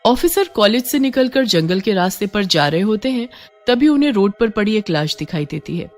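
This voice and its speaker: A woman's voice: medium pitch, lively intonation, moderate pace, a little mellow. Casting: female narrator, scripted horror tales, mid-range voice, light music bed.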